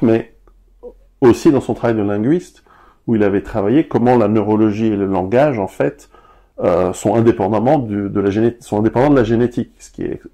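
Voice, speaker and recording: Guy musing as a guest, deep-ish and gravelly. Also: dark tone. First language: Italian. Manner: reflective, thinking aloud. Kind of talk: conversational interview.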